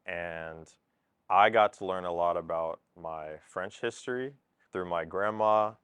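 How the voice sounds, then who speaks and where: A young man speaks calmly and matter-of-factly in a very deep voice. His pitch barely moves, and his tone is smooth and clean, with a dry, close sound. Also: no echo. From California. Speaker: student interviewee. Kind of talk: interview answer.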